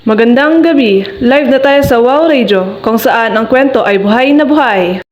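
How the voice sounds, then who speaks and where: A woman's voice: fairly high pitch, moderate pace, music in the background, close to an everyday voice. A woman with a Filipino accent playing a witty, blunt radio host in a comedic radio drama. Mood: exasperated host. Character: witty, blunt radio host improvising through a chaotic broadcast. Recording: dull, noisy lo-fi recording with clicks.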